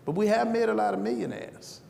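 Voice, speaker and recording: A man's voice: high-pitched, fairly even intonation, brisk, gravelly, darkish tone. A man answering questions on a conference panel, conversational, often restarting his sentences. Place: conference breakout room. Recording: echoey hall with background noise.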